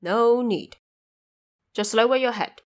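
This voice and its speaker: A woman's voice: fairly high pitch, slow pace. A woman playing a stern, commanding male Buddha with an authoritative manner in a fantasy audio drama. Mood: calm, commanding.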